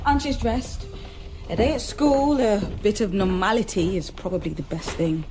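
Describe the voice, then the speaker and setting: An actress playing a caring, tactful nanny in a radio drama, gentle and reassuring. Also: female voice, mid-range voice, normal speed, faint music behind, lightly acted, low-quality recording. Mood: concerned, reassuring. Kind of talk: naturalistic radio drama acting.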